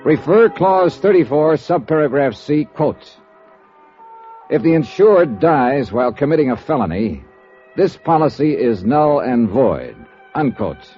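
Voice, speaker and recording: An actor playing a wry, tough American insurance investigator with an American accent, dry and sardonic in a 1950s radio drama. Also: male voice, medium pitch, very animated, measured pace, mellow. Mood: brisk, matter-of-fact. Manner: dry, crisp, sardonic delivery. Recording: dull 1950s radio recording with music.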